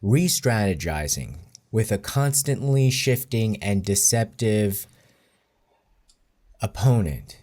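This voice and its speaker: A man speaking in a deliberate, measured way as he comments in casual chat. His voice is dark and mellow, and he takes his time. The pace stays unhurried. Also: fairly low pitch, animated. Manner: deliberate, measured delivery with occasional laughs. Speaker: co-host commentator.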